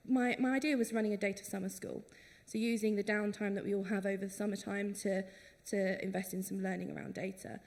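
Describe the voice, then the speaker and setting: A woman's voice: mid-range voice, a little mellow. A woman speaking on a conference panel about workplace training, enthusiastic and conversational. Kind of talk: conference talk. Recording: reverberant conference hall.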